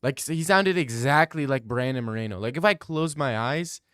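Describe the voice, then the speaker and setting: A man's voice: mid-range voice, lively intonation, moderate pace, fairly bright tone, clean tone, dry recording, clean studio sound. A young man live-streaming from home on a desk mic, bantering loosely with his chat and swearing freely. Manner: loose, profane banter, reads chat aloud and riffs.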